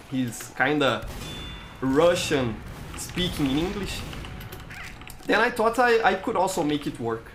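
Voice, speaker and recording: Casting: male game streamer, Brazilian accent, Portuguese native, animated intonation, stammering casual delivery, music underneath. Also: a little high. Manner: halting, stammers and repeats words. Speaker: live-streamer hosting gameplay. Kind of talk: casual live-stream chat while gaming.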